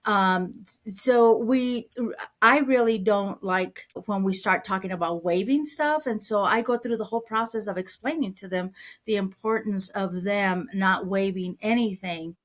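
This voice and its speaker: Woman, middle-aged, from Mexico, earnest, conversational, restarts words, medium pitch. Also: unhurried. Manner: earnest, repeats words while thinking. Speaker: real estate broker, guest. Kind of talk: conversational interview answers.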